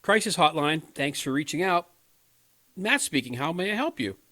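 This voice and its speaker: A man's voice: a little high, animated, normal speed, noisy background, natural voice. An actor in a radio play, playing an earnest, principled younger man who works on a crisis hotline and speaks with moral sincerity. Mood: helpful.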